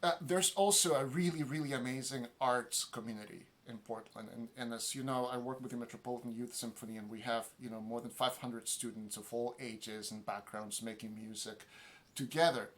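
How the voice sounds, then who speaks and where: A man of middle pitch hosts an interview over a video call, asking eager questions and often stopping on fillers and restarts. His talk is casual and friendly. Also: fairly even intonation, a little fast. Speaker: interviewer for a youth orchestra.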